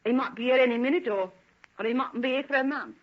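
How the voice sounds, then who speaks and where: An actress playing a bitter, guarded working-class housekeeper in an old radio drama, blunt and evasive, with a husky voice. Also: female voice, sits high, quick pace. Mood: scornful. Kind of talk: 1940s radio acting. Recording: dull old radio recording.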